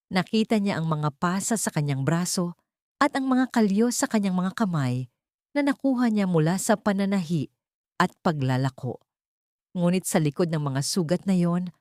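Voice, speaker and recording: Low-pitched woman narrating with sweeping intonation. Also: a little mellow, dry recording, studio quality. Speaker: narrator of audio drama. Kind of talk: dramatic scripted story narration.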